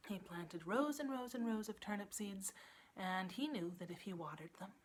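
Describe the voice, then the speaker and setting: A woman librarian telling a children's story in an animated style, building drama with emphasis and repetition. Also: mid-range voice, moderate pace, a little hiss or hum.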